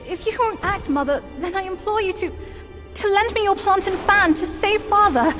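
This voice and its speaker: High-pitched pleading young fox princess. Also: female voice, over music. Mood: imploring. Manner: plaintive, beseeching, halting. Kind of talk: video game fantasy acting.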